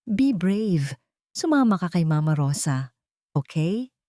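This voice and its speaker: A woman's voice: fairly low pitch, very animated, slow, dark tone, no echo, studio quality. Woman acting a frightened, pleading captive. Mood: hushed, soothing. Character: terrified, pleading captive woman shielding a small child.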